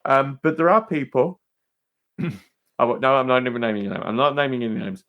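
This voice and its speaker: A man's voice: mid-range voice, lively intonation, a little fast, lo-fi sound. Man in rambling, emphatic podcast banter.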